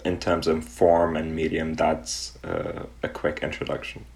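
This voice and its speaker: Very deep-voiced young man from Pakistan. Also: completely flat delivery, creaky, a crowd in the background. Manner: thoughtful, with frequent ums and pauses. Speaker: artist, interview guest. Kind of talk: relaxed reflective interview answers.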